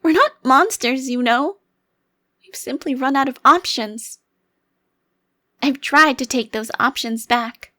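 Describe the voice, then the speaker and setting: Woman playing a slow-spoken, haughty child-bodied ancient vampire. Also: sits high, bright, forceful tone, full character voice. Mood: defiant, pleading. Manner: formal, deliberate, haughty. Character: centuries-old vampire crime lord in a child's body, haughty. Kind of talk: ASMR roleplay monologue.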